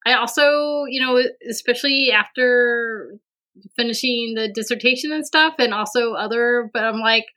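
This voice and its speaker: A woman's voice: fairly high pitch, normal speed, pushed and bright. A woman speaking as a podcast guest in a casual conversation, hesitant and full of fillers and restarts. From Vietnam. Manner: hesitant, many fillers and restarts, laughs often. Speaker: podcast guest, university administrator.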